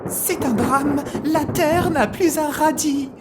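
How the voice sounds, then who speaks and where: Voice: sits high, takes it slowly, very noisy, pitched above the performer's own voice. A performer voicing an alarmed old granny radish in a cartoon tale, exclaiming dramatically. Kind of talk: cartoon storybook. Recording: loud guitar music behind the voice.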